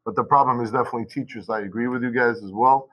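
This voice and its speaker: A man who teaches high school Portuguese, talking casually and at length on a video call from his school, with many restarts and asides. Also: steady tone, very fast, a bit bright, low-quality recording.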